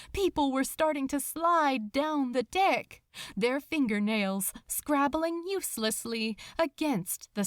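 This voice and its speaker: A woman's voice: fairly high pitch, no echo. Woman narrating a dramatic story, takes her time, really close dry sound. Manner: expressive, suspenseful, deliberate. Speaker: audiobook narrator. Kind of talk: dramatic scripted narration of fiction.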